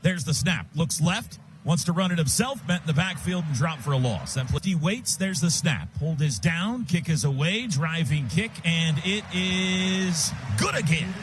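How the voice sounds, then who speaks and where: An American man calling live football on radio as the play-by-play announcer, in rapid, clipped present-tense phrases. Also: fairly high pitch, animated. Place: stadium radio booth. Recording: loud stadium noise behind broadcast.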